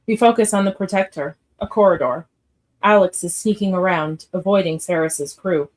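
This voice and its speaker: A woman's voice: lowish voice, moderate pace. A woman reading stage directions as narrator for a live radio play script read.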